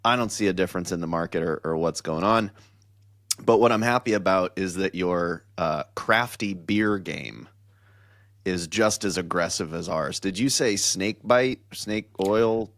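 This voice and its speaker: A middle-aged man with a very deep voice hosts a loose, jokey chat. He talks in excitable bursts and rambles through his questions, and the sound is close and dry. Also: a little slow, dry recording. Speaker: podcast host. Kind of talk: casual chat.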